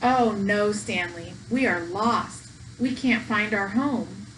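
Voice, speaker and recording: A woman voicing a cautious, fretful male basset hound who worries, in a children's storybook. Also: takes it slowly, a bit bright, a little hiss or hum, poor audio. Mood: worried.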